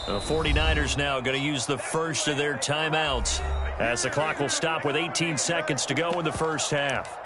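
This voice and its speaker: A man's voice: a little high, moderate pace, over loud music, close to an everyday voice. A man with an American accent voicing a football play-by-play announcer in a video game, with polished broadcast delivery. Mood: brisk, focused. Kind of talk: sports commentary in a video game. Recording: game stadium noise behind. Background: loud football game sounds.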